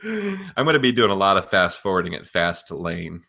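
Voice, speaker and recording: A man's voice: sits low, very animated, moderate pace, creaky, pushed and bright. A man co-hosting a casual wrestling podcast, giving informal, matter-of-fact critical commentary. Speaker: podcast co-host.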